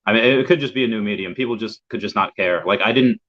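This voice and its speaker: A man's voice: lowish voice, quick pace. A young man from the United States, a guest in a casual interview chat over a video call, rambling and repeating words as he searches for his thoughts. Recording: dull lo-fi audio.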